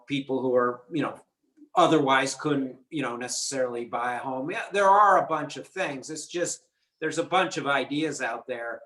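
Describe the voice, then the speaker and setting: A man's voice: medium pitch, fairly bright tone, roomy sound, rough recording. A man asking a long, rambling question after a lecture, hesitant and full of restarts, over a video call. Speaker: audience member asking a question.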